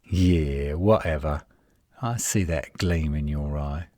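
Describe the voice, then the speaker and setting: A man playing a defensive, flirtatious tsundere werewolf in a roleplay audio drama, indignant and sarcastic with a husky voice. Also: a bass voice, slow, warm tone, no room sound. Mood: smug, flirtatious.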